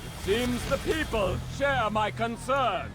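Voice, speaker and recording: A sneering, menacing knight commander. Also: male voice, very high voice, takes it slowly. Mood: commanding. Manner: mocking, then fearful and quiet. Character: ruthless knight commander, then a dying man. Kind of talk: video game cutscene acting. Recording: game audio with loud music.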